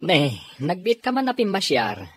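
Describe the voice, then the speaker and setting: Husky low guy a laid-back husband in a radio comedy, really up and down. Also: huge pitch swings, normal speed, fairly bright tone, dry recording, exaggerated voice. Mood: easygoing, mildly exasperated. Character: married man, easygoing husband and brother-in-law. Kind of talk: Ilocano radio comedy drama acting.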